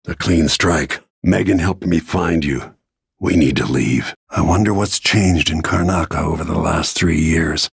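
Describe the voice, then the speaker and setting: A grim middle-aged assassin from a video game, with a very low voice full of gravel and heavy rasp. He is weary and restrained, and delivers terse, dramatic remarks. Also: unhurried, dry recording, studio quality, heavily acted. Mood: grim, brooding. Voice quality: gravelly, husky. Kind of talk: scripted game voice lines, short dramatic remarks.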